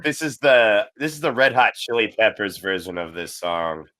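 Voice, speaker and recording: Man, fairly low pitch, casual commentary chat, very dry recording. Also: animated, normal speed, a bit bright, no room sound. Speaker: cast member on commentary track.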